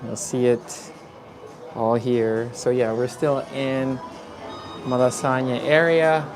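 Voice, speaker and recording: A man from the Philippines hosting a live walking tour outdoors, talking in a relaxed, chatty way with many fillers. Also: medium pitch, takes it slowly, over music. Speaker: vlogger. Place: city streets outdoors. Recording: faint street noise outdoors. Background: street traffic and ambience.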